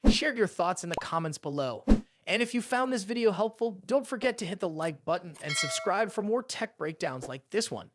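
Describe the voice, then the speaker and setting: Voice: medium pitch, lively intonation, normal speed, heavy background noise. A tech review presenter reading a scripted narration in a polished explainer style.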